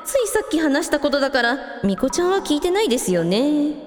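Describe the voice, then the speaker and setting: A woman playing expressive, casual-talking members of a village family in a Japanese radio drama. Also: high voice, fast talker. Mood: surprised. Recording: long reverb with music behind.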